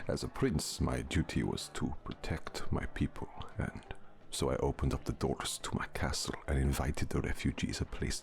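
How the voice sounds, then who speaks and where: Casting: male actor as ancient vampire count, extremely low and slow, suave, formal, intimate ASMR drama with music. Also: full character voice. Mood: reminiscing, grave. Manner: formal, measured, suave, often breathy. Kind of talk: intimate ASMR audio roleplay. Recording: music behind the voice.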